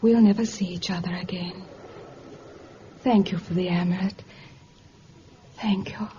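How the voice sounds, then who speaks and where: A woman's voice: fairly low pitch, fairly even intonation, unhurried, dark tone, lightly acted. An actress playing a wistful seventeen-year-old girl, hesitant and yearning, in a vintage radio drama. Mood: pleading, wistful. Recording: dull, hissy vintage radio recording.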